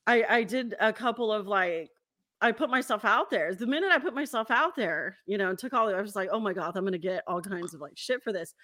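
A woman in her forties hosting a casual, profane chat, talking in a giggly, rambling, excitable way. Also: mid-range voice, brisk. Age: 40s. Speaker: interview show host.

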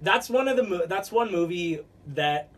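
A man's voice: high-pitched, lively intonation, moderate pace, recorded from a distance. A man co-hosting a podcast in casual, unscripted chat, rambling along with lots of "like" as filler. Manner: rambling, filler-heavy with frequent "like". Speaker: podcast co-host.

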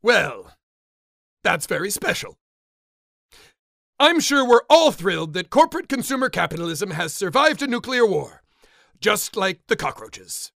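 Creaky, theatrical mad scientist. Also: female voice, mid-range voice, very animated, measured pace, pauses often, a bit bright, no room sound, studio quality, full character voice. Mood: sardonic. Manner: theatrical, sardonic. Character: vain, menacing mad scientist doctor trapped in a radio station. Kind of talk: comic radio drama hosting.

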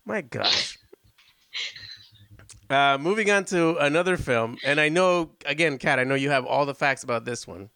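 Lively, excitable male podcast host. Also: on the high side, normal speed, smooth, no room sound. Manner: enthusiastic, rambling, self-interrupting. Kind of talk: casual chat about old films.

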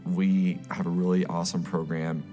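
A woman's voice: a bass voice, level tone, moderate pace. A young woman, a college student, giving a casual testimonial. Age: young adult. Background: music with guitar.

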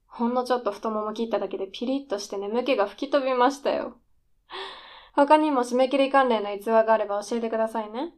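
Radio drama role, played by a woman: would-be female screenwriter at the end of her rope, higher-pitched, needling and defiant. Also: fast, a little mellow, close to an everyday voice. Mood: eerily flippant. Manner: needling, intense, provocative polite speech. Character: desperate, defiant, lazy aspiring female scriptwriter. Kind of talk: radio drama dialogue acting.

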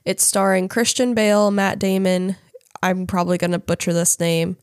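A congested woman co-hosting a casual podcast, her voice sitting a little low. She reads out facts between fillers and stumbles a bit over names, and the sound is close and dry with no room around it. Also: little pitch movement, normal speed, no echo. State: congested from a cold. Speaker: podcast co-host.

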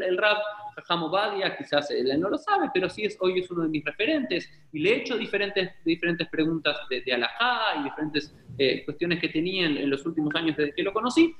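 A man's voice: high-pitched, a bit bright, reverberant, poor audio. A man, a rabbi hosting an interview, talking emphatically and listing his points. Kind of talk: interview conversation.

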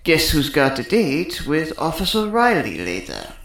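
A woman's voice: low-pitched, huge pitch swings, a little slow, full character voice. A woman playing a cocky, sarcastic male electrical technician in a sci-fi comedy audio drama, smug and wordy. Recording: roomy echo.

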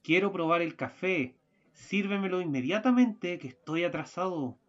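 A man in a student radio play acting a hurried, irritable husband, with a curt, grumbling delivery. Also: sits high, lively intonation, muffled recording. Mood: demanding. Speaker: radio drama actor.

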